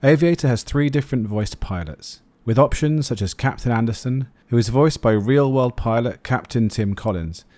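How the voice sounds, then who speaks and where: A man's voice: medium pitch, moderate pace, few pauses, a little mellow, no echo. A man narrating a scripted product review as the host of a video.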